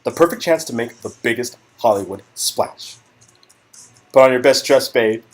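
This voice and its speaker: A man voicing an excitable, flamboyant young Hollywood socialite best friend in a gushing, dramatic way, read from a story game. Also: medium pitch, moderate pace, lightly acted. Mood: gushing, thrilled. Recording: music in background.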